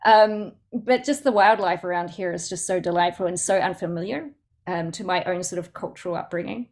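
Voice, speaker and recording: A woman's voice: lowish voice, fairly quick. A woman with a Southland New Zealand accent, answering questions as a poet in an informal, thoughtful way, often searching for her words. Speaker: poet and guest speaker. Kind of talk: informal question-and-answer talk at a poetry event.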